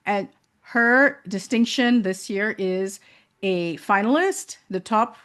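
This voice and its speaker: A woman's voice: mid-range voice, takes it slowly. Chatty woman talk show host. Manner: warm-hearted, chatty, with many fillers. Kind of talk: interview hosting.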